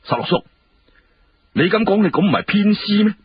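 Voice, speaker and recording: A man voicing an imperious, sharp-tongued emperor with an emphatic, questioning delivery in historical storytelling. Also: high voice, animated, normal speed, no room sound, pitched above the performer's own voice, full character voice. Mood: indignant, challenging. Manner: indignant rhetorical questioning, emphatic. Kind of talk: Cantonese historical storytelling dialogue. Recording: muffled, clearly noisy radio-like recording.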